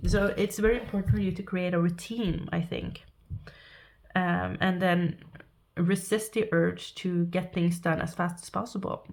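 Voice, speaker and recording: A woman with a Norwegian accent giving a pick-a-card tarot reading, sniffly and halting, restarting her thoughts as she speaks. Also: on the low side, fairly even intonation, creaky. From Norway. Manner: halting, thinking aloud with restarts and soft laughs. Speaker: tarot reader.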